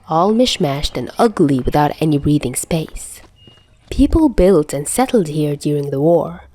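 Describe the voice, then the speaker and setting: A woman's voice: on the low side, dark tone, dry recording. A woman reading a scripted story as an amateur audiobook narrator. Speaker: amateur fan fiction narrator.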